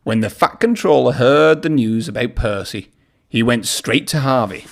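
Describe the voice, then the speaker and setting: A man's voice: medium pitch, expressive, moderate pace, creaky. A man reading a children's story aloud in a narrating style. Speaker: storybook reader.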